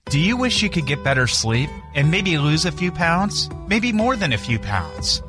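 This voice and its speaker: A man announcing a radio ad in an upbeat sales pitch. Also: big pitch swings, moderate pace, music in the background. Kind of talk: radio ad read.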